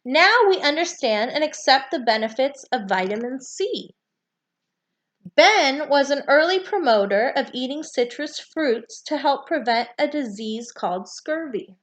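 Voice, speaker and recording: A woman reading a children's nonfiction book aloud with deliberate, emphatic phrasing for young listeners. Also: high-pitched, animated. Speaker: read-aloud storyteller.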